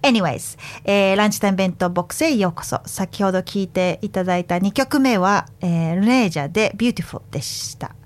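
A woman's voice: medium pitch, fast talker, few pauses. A woman hosting a casual radio interview, talking as a presenter to her guest. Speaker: radio show host and interviewer.

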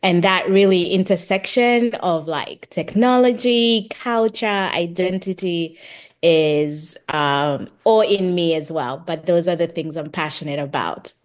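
A middle-aged woman from Zambia with a fairly low voice speaks as an interview guest. She explains herself in a reflective, conversational way, feeling for her words as she goes. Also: measured pace. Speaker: podcast guest, tech project manager and author.

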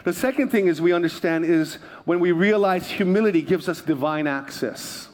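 Male pastor from Hawaii, higher-than-average pitch, sermon delivery, emphatic and repetitive. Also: normal speed, a little mellow. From Hawaii, United States. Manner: emphatic, repeats phrases, prompts the listeners. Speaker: pastor preaching to a congregation.

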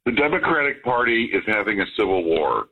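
Deep husky man on a phone line. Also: big pitch swings, a little fast. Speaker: political commentator guest.

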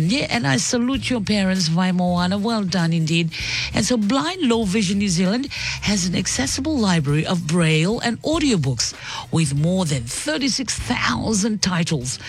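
A radio host on a Sunday morning show, reading a news story aloud and breaking off for chatty, upbeat asides. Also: medium pitch. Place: radio studio.

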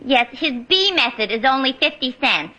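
A woman's voice: high voice, sing-song, normal speed, noisy background. An actress playing a naive, brassy young woman in a 1950s radio comedy, with a dim, sing-song delivery.

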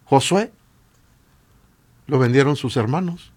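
A man with a Latin American Spanish accent and a husky voice, preaching informally and emphatically with repeated rhetorical questions. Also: medium pitch, takes it slowly, darkish tone. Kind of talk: informal exhortation sermon.

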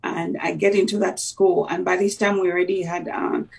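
Gravelly-voiced Ugandan woman recalling grief. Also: fairly low pitch, level tone, normal speed, far from the mic. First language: Luganda. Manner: reflective, frequent "you know" fillers. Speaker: guest sharing testimony. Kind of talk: personal testimony in conversation.

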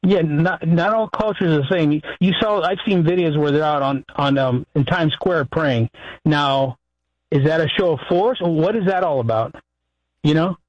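Muffled male radio caller, highish voice. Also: moderate pace, no room sound. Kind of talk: casual call-in chat. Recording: muffled lo-fi call-in line.

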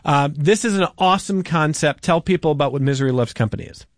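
A man's voice: a little high, fast, no room sound, studio quality. A man hosting a radio show, chatting with guests and announcing upcoming performances in an upbeat way. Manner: upbeat, plugs shows and dates. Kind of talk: casual radio interview.

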